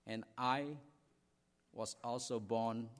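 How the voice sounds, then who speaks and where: Slow-speaking man introducing a guest. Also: medium pitch, flat delivery, big-room sound. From Indonesia. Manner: careful, with slips on words. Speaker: church member introducing the speaker. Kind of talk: reading an introduction. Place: church sanctuary.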